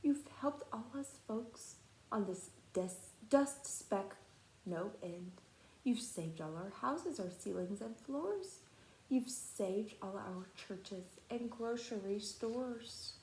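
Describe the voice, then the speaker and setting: A tiny, grateful storybook mayor, put on by a woman speaks in a faint, piping little voice. The manner is gentle and courteous, with unhurried phrasing in a roomy space. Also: mid-range voice, animated, slight background noise. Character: tiny grateful polite mayor of speck-sized people.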